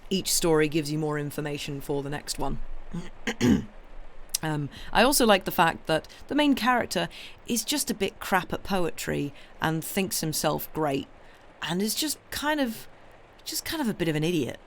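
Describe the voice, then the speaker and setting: Croaky young woman chatting. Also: low voice, expressive, a little hiss or hum. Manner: rambling, halting, full of ums and restarts; friendly and apologetic. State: croaky, hoarse voice. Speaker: livestream story reader. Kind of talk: casual chat with viewers.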